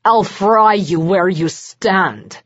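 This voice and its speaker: A woman doing a deep, menacing vampire lord. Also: expressive, measured pace, no echo, highs cut off. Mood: threatening. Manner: clipped, menacing. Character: stern proud male vampire lord, quick-tempered.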